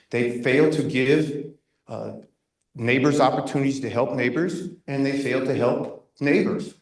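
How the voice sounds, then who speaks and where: Loud, insistent man in an echoey hall. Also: mid-range voice. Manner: loud, insistent, critical, with frequent restarts. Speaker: resident giving public comment. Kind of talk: impassioned public comment at a neighborhood meeting. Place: echoey community meeting room. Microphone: room mic at a distance, not speaking into a handheld. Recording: very echoey room, voice far from the mic.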